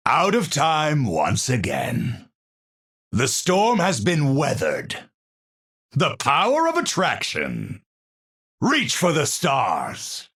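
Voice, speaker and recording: Eccentric scientist, wildly swinging pitch. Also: male voice, creaky, a bit bright, slightly rough, exaggerated voice. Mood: brooding, intense. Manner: formal, precise, theatrical delivery. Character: eccentric, troubled male genius physicist, erudite and obsessive. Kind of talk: video game character voice lines.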